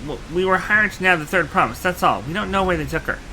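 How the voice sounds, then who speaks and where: A male actor playing a rough, cowardly bandit henchman in a fantasy game, coarse and blustering. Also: high voice, lively intonation, fast talker, bright, forceful tone, a little hiss or hum, exaggerated voice, poor audio. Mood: frightened. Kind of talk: fantasy video game voice acting.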